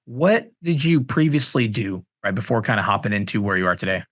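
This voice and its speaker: A man's voice: lowish voice, lively intonation, brisk, a bit bright. A man co-hosting a business podcast, asking long rambling questions with many "right?"s and "you know"s. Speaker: podcast co-host. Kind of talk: conversational podcast interviewing.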